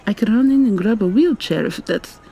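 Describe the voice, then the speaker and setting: A woman playing an articulate, probing young female vampire student in an ASMR roleplay, soft-spoken and questioning, with noise in the recording. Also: mid-range voice, expressive, a little fast, gravelly, slightly rough, poor audio. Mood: concerned. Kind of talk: ASMR roleplay, intimate conversational acting.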